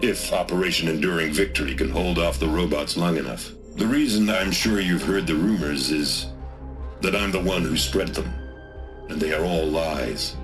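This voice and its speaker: An actor playing a blunt, grave American general in a game, giving a formal briefing. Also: male voice, deep voice, moderate pace, over music, lightly acted.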